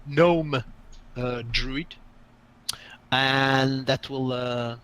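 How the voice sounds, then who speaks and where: A man's voice: mid-range voice, steady tone, extremely slow, fairly bright tone, smooth. A man from Italy, running a tabletop game as game master, talking with frequent hesitations. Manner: hesitant, many filler sounds. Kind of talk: hosting a tabletop game stream.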